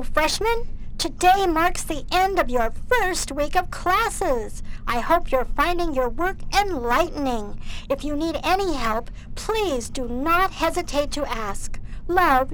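Actress as slow, high-voiced devoted college dean. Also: female voice, lively intonation, a bit bright, a little hiss or hum, full character voice, low-quality recording. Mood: formal, welcoming. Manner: formal, measured, encouraging. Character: devoted, watchful college dean making intercom announcements. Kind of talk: scripted audio drama acting.

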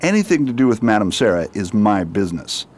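A man playing a shrewd, secretive detective in a radio drama, brisk and authoritative. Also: lowish voice, big pitch swings, darkish tone. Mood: serious. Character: shrewd, confident male detective, secretive and commanding. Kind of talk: amateur radio drama acting.

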